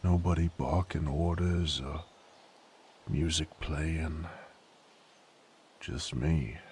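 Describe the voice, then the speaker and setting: A man playing a gruff, weary sadist knight in a roleplay audio drama, speaking in rough, folksy, halting phrases with a gravelly voice. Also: fairly even intonation, a little mellow, a little hiss or hum, exaggerated voice. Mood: wistful, subdued. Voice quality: gravelly, very deep. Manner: rough rustic folksy speech, brooding, halting. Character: sadist knight, gruff former carnival drifter, weary and brooding.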